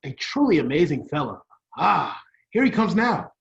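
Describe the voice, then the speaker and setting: A man's voice: fairly high pitch, big pitch swings, normal speed, creaky. A man playing a proud older inventor professor in an old-style radio play, explaining theatrically and sometimes stumbling. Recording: dull lo-fi sound.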